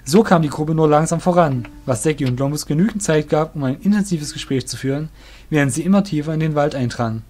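A man's voice: a little high, fast talker, darkish tone. A man narrating a scripted fantasy audio drama. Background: music.

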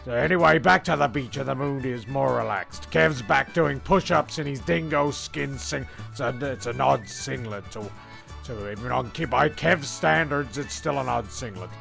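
Gravelly rambling male comic narrator. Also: medium pitch, fairly bright tone, soft background music. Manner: halting, digressive, stumbling mock-storyteller.

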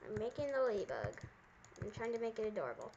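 A woman's voice: medium pitch, big pitch swings, fast, lo-fi sound. A young girl chatting in roleplay while hosting a gaming video. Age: child. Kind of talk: roleplay chat while playing a game. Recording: loud noise and clicks behind voice.

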